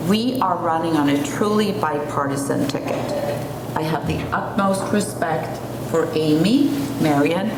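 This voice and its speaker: A woman with a Swedish accent and a low, grounded voice gives an earnest candidate statement, now and then pausing on a filler. The sound is roomy, with clear background noise around her. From Sweden. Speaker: city council candidate. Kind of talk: candidate forum opening statement. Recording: echoey room with noise.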